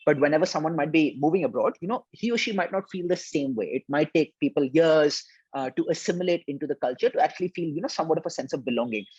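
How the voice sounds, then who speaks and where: A man's voice: a little high, animated, fast, a little mellow, husky, no room sound, lo-fi sound. A man hosting an interview, affirming his guest and then framing long, structured questions. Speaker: interview host.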